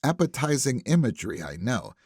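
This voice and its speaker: A man narrating a first-person thriller audiobook in a wry, conversational, expressive manner. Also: mid-range voice, a little fast, slightly rough, dry recording, studio quality.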